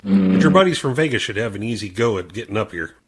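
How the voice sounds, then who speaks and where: A man's voice: medium pitch, sing-song, quick pace, creaky, slightly rough, exaggerated voice. An actor playing a dutiful army private reporting respectfully to his superior in an audio drama.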